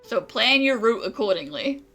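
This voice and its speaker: A woman's voice: fairly high pitch, very animated, moderate pace, pushed and bright, soft background music, full character voice. A woman playing a playful young companion in a video game, talking in a wry, teasing way. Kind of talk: video game character acting.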